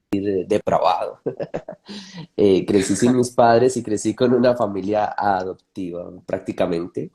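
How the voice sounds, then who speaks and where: A man's voice: sits low, warm tone. A man from Colombia with a Colombian accent, a pastor speaking thoughtfully in a panel discussion and sharing his testimony.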